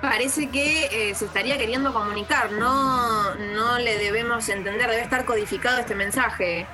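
A woman's voice: on the high side, moderate pace, close to an everyday voice. A woman playing an earnest radio anchor with an Argentine accent, hesitant and formal, hosting mock live news in a comic radio play. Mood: cautious. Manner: hesitant, formal, repeating herself. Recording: music behind the voice.

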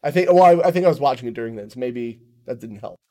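A man from the United States chats as a guest on a podcast, animated and self-mocking. He swears casually and jumps between topics. His voice sits at an ordinary middle pitch. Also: fast talker. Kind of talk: casual chat.

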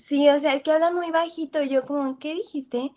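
A young Mexican woman with a Mexican accent, speaking in a voice a little higher than most. She chats freely and slangily as a podcast guest and laughs easily. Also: steady tone, moderate pace, darkish tone. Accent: Mexican Spanish. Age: young adult. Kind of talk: casual chat.

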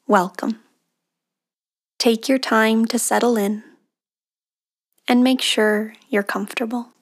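A woman leading a guided meditation in a hushed, breathy, soothing delivery with long pauses between phrases. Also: fairly high pitch, fairly even intonation. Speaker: meditation guide, podcast host.

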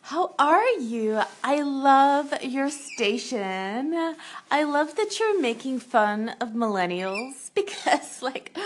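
A woman's voice: on the high side, a bit bright, slight background noise. A woman playing an airheaded young caller who drawls in valley-girl speech full of "like". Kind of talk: comedic parody.

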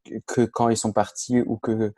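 Young guy hosting a casual podcast, lowish voice, dry close sound, stop-start phrasing. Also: flat delivery, moderate pace, mellow, dry recording. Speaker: podcast host. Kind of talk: casual discussion.

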